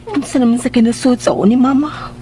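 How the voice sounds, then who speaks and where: A woman as a meek, high-voiced young woman. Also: fairly even intonation, a little fast, mellow, slight background noise, lightly acted. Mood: sad, musing. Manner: hesitant, submissive. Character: meek, anxious pregnant young woman, eager to please. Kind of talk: radio drama acting.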